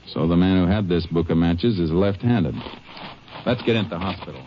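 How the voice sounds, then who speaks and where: A man acting a calm, methodical Texas Ranger in a 1950s radio drama, terse and matter-of-fact. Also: low-pitched, moderate pace, close to an everyday voice. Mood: focused. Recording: muffled, noisy old radio recording.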